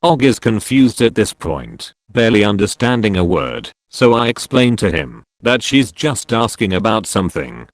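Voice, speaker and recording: Man reading stories, lively pitch. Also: normal speed, few pauses, dry recording. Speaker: story narrator. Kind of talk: reading online stories aloud.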